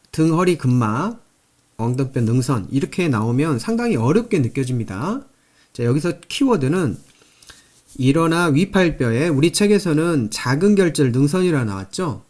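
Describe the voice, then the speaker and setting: A man's voice: mid-range voice, a little mellow. A man giving an explanatory anatomy lecture, repeating key terms and going through things step by step. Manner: repetitive, step-by-step teaching with frequent emphasis. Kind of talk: explanatory lecture, drawing and working through review questions.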